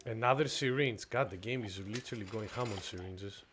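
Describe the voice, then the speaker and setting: A man's voice: on the low side, fairly quick, fairly bright tone, soft background music. A young man from Greece streaming a horror game, chatting casually with viewers and reacting as he plays. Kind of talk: casual gameplay commentary.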